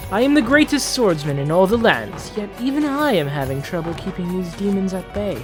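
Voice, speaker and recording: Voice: medium pitch, very animated, a little fast, music in the background, lightly acted. A performer voicing a proud, entitled eldest prince, reading fantasy journal entries in a formal, theatrical manner. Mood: uneasy, haughty. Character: Prince Johannes, proud, haughty, entitled eldest royal son on a quest. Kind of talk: dramatic fantasy journal narration, fan dub.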